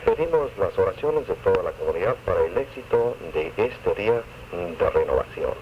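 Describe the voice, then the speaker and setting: A man's voice: low voice, animated, fast. A man reading scripted community announcements on 1970s radio. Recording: very noisy lo-fi 1976 AM radio recording.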